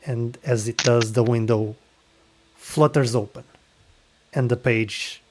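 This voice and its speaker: Guy narrating a d&d session real slow, halting, pausing a lot, mid pitch. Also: steady tone, low-quality recording. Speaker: dungeon master. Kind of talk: narrating a tabletop game.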